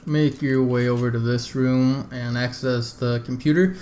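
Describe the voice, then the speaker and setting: A man's voice: medium pitch, steady tone, moderate pace, darkish tone. Casual man guiding through a game, noisy background. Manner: informal, addresses viewers as "you guys". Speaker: video game walkthrough host. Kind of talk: casual step-by-step game walkthrough commentary.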